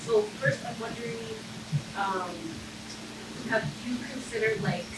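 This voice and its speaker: Woman audience questioner, lively pitch, rambling delivery. Roomy, off-mic sound with room noise. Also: unhurried, far from the mic. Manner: rambling, lots of fillers. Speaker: audience member asking a question. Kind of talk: conference Q&A question. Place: conference session room.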